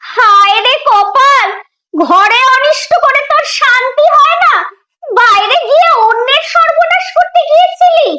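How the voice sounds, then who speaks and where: A woman's voice: extremely high pitch, animated, unhurried, very noisy. A woman voicing an exasperated, sarcastic, scolding village mother in a children's cartoon.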